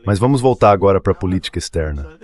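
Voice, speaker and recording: A man's voice: low voice, animated, fast, darkish tone. A synthetic male voice dubbing a political interview in a conversational style. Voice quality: synthetic text-to-speech voice. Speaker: AI dub voice translating an interview.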